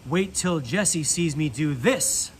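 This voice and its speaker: A man's voice: on the high side, lively intonation, a little slow, over a noisy crowd. A man reading a storybook and voicing an eager, boastful toy space ranger in a showy, earnest way. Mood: eager, confident.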